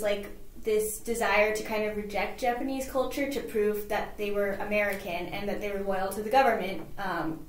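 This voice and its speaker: A young woman from California chats easily as an interview guest, her answers loose and full of "like"s and little fillers. Her voice sits in a middle register, and the recording carries a noticeable room echo. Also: mid-range voice. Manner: chatty, many "like"s and fillers. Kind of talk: casual interview chat.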